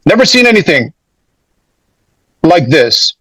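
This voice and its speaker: A man's voice: a little high, very animated, slow pace, a bit bright, husky, no echo. A man speaking as a defense attorney, making an emphatic, indignant argument in court.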